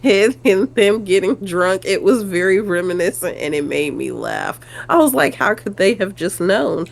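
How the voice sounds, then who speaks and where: A woman with a Southern accent, co-hosting a casual review chat, telling stories in an animated way and chiming in with quick agreement. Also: medium pitch, creaky. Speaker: podcast co-host.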